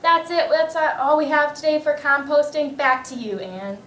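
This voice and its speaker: A woman's voice: sits high, moderate pace, a bit bright. A woman playing the host in a scripted mock TV news interview. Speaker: news interviewer in a readers theater skit. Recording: echoey room, distant mic, background noise.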